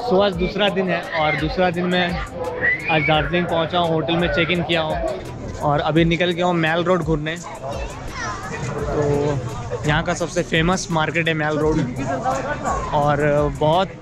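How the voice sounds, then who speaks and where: A young man with a slightly raised voice leads a relaxed, chatty street tour, explaining where to go and what to see. He sounds like he is outdoors in a crowded market at night. Loud crowd noise and clear music run underneath him. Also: on the high side, unhurried, pauses often. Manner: chatty, explains directions to viewers. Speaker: travel vlogger. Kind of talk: casual guiding commentary.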